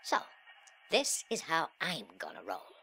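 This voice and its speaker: A man performing a dramatic monologue as a sullen, cocky, nihilistic young slacker, with breathy, slangy, sneering delivery that swings between muttering and outbursts. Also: on the high side, wildly swooping pitch, a little slow, sharp tone, slight background noise, heavily acted. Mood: cool, scheming. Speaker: reader of a literary monologue. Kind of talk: dramatic first-person monologue in slangy speech.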